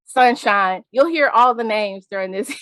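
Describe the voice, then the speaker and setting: A woman hosting a podcast interview in casual chat, bubbly and quick to laugh. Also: fairly high pitch, fairly bright tone, no echo, low-quality recording. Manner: bubbly, laughs often, addresses listeners as y'all. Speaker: podcast host.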